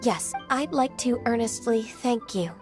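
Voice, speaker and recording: Casting: soft-spoken, dutiful young-sounding secretary for anime game, slightly high, earnest and formal. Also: female voice, expressive, measured pace, music in the background. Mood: earnest, determined. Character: gentle, dutiful, millennia-old female secretary who sounds young. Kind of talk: anime-style video game voice acting.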